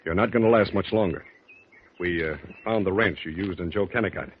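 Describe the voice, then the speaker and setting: An actor playing a brisk police lieutenant pressing for answers in a 1940s radio drama. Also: male voice, sits low, lightly acted. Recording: noisy, dull 1940s radio recording.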